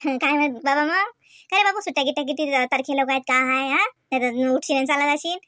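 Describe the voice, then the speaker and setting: A woman acts as a chatty village mother from a comedy cartoon, with a rural Vidarbha Marathi accent. Her voice is very high and bright, full of cooing baby talk and affectionate teasing. The sound is close and dry. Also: quick pace, no room sound. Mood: caring, homely. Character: doting, chatty village mother and elder sister.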